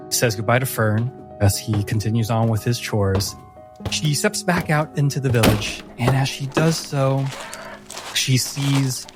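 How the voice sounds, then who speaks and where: A man with a mid-pitched voice acts as game master, telling a fantasy story in descriptive detail. His delivery is very slow and deliberate. Music plays clearly behind him. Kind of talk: scripted fantasy narration for a tabletop game. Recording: music under the voice.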